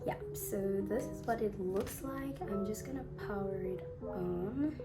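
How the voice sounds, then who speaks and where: A young woman with a slightly hoarse voice from a flu, chatting casually and rambling a little while she unboxes a phone. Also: medium pitch, measured pace. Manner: chatty, rambling, uses fillers like "um" and "like". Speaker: unboxing vlogger. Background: music in the background.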